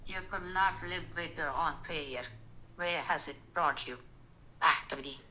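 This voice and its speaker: A man's voice: on the high side, a little slow, pitched above the performer's own voice. A man voicing a menacing film villain line, altered by an AI voice changer and played back through a small speaker. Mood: slow menace. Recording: noisy, quiet playback through device speaker.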